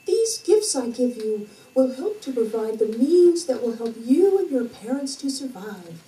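A gracious fairy godmother played by a woman in puppet theatre, with a high, very soft-toned voice and a lilting, rhymed recitation. It is heard from a distance in an echoey space. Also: very animated, measured pace. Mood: gracious, solemn. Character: gracious fairy godmother bestowing magic gifts.

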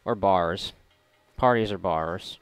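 A man's voice: low-pitched, slow, clear, resonant tone, no room sound. A man giving casual, sarcastic, rambling and profane commentary as he plays a video game. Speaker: gamer commentating on a game playthrough. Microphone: close mic, voice-over on gameplay.

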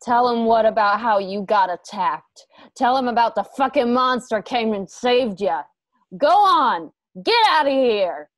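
A woman's voice: on the high side, expressive, a little slow, no room sound. An actress playing a vengeful, scarred gunslinger woman in a Western radio play, with a folksy, profane, menacing manner. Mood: furious. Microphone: home video call.

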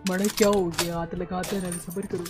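A woman's voice: lowish voice, level tone, a little fast. A woman game streamer in casual, teasing voice chat with her squad. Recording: game stream under background music. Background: game music.

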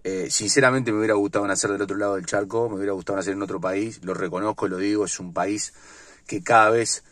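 Uruguayan guy ranting about football in his car, lots of "eh" and "este", low-ish voice, dry. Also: fairly even intonation, fast talker, a bit bright, no room sound. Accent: Uruguayan Spanish. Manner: blunt and ranting, many fillers and restarts. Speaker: sports vlogger. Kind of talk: opinionated solo football commentary. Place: inside a parked car. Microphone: phone or webcam at arm's length.

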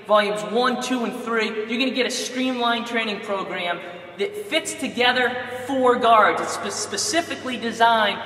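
A young man, a basketball coach, making an urgent, emphatic sales pitch straight to the camera in a large indoor gym. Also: moderate pace, bright, forceful tone. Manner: urgent, emphatic, direct address. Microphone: lapel mic. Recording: echoey gym from far off.

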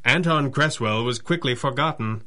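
A man's voice: medium pitch, normal speed, bright, forceful tone, lightly acted. A man playing a composed, analytical masked crime-fighter in a 1930s-style radio drama, speaking formally and precisely. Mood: measured explaining.

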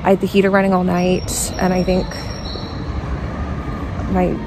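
A young American woman talking casually as a travel vlogger while walking city streets, filling her talk with "um" and "like", her voice low and rough from dry air. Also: monotone, unhurried. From United States. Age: young adult. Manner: chatty, many fillers like "um" and "like". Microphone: phone camera held at arm's length. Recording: loud street noise behind.

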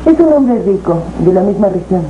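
A woman's voice: mid-range voice, expressive, moderate pace, dark tone, highs cut off, lightly acted. Woman acting composed persuasive business lady, 70s soap, noisy video. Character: businesslike, persuasive woman land buyer's agent. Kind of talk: 1970s telenovela acting.

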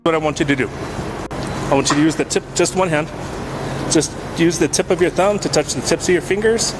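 A man's voice: a little high, a little fast, background noise. A male police officer giving methodical, firm instructions for a sobriety test in a truck garage. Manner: firm, methodical, demonstrates step by step. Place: large indoor truck garage. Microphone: body camera.

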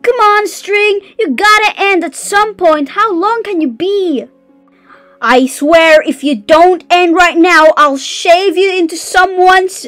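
A woman voicing an excitable, stubborn teenage girl who rambles earnestly in an amateur cartoon comic dub. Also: very high-pitched, takes it slowly, faint music behind, exaggerated voice. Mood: exasperated.

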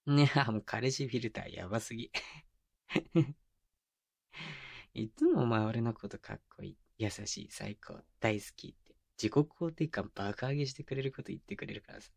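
A man acting as a tender young boyfriend in an ASMR audio drama. He speaks in a soft, breathy murmur, very close and dry on a binaural mic. His manner is doting and teasing, slipping into coaxing baby talk. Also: medium pitch, expressive, normal speed, no echo. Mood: playful, affectionate. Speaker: voice actor. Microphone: close binaural microphone, dry.

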